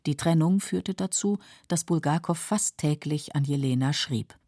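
A narrator reading scripted literary documentary text in a sober, measured manner. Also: medium pitch, warm tone, no room sound, clean studio sound.

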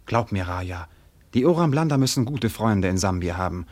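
An actor playing a proud, ardent seafaring trader prince in a German radio drama, speaking in a solemn, poetic, declamatory way with a husky voice. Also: male voice, fairly low pitch, lively intonation, fairly quick, a little mellow. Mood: defiant, accusing.

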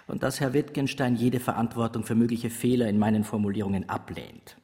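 Guy acting meek fussy academic, medium pitch, careful formal wording, radio drama, long reverb. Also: a little fast, dark tone, close to an everyday voice. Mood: pedantic, careful. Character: dutiful, pedantic academic reporting meekly on his master.

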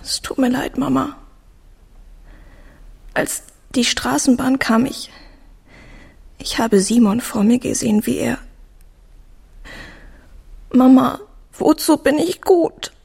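A young woman playing a fragile, defiant sixteen-year-old daughter in a radio drama, with a breathy edge to her voice. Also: high voice, takes it slowly, pauses often, darkish tone, full character voice. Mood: remorseful, pleading.

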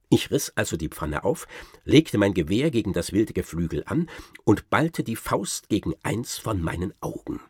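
Radio play raconteur: self-satisfied gentleman hunter, lowish voice with very animated, swooping delivery. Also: husky, no room sound, clean studio sound, exaggerated voice. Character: boastful gentleman hunter spinning absurd tall tales. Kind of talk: theatrical first-person storytelling, German radio drama.

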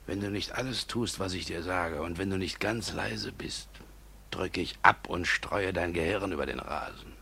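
An actor playing a brutal, impatient burglar who gives gruff, threatening orders in a radio drama. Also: male voice, sits low, normal speed, pushed and bright, full character voice. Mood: menacing. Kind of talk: 1950s German radio drama acting. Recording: 1950s radio recording with faint hiss.

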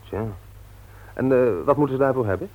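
An actor playing a frustrated young bookseller nephew in a 1960s radio drama, earnest and husky, on a dull old recording. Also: male voice, mid-range voice, a little fast, close to an everyday voice. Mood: guarded.

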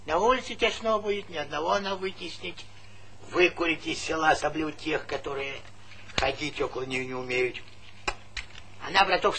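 An actor playing a talkative, teasing old village pensioner with folksy speech in a stage comedy. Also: male voice, high voice, sharp tone. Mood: opinionated. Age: older. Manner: garrulous, folksy, needling, chuckling interjections. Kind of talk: Soviet stage comedy acting. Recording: noisy 1970s theatre recording.

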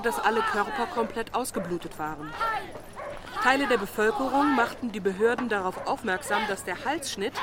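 An actor playing a composed radio news presenter, speaking in a formal, measured and articulate way. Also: medium pitch, very noisy, close to an everyday voice. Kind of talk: formal scripted broadcast news reading.

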